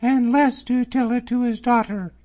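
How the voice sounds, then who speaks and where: A man putting on a proud, sharp-tongued young lady. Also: a little slow. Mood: cold, indignant. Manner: high falsetto-like put-on voice. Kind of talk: audiobook character voice. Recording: slightly muffled recording.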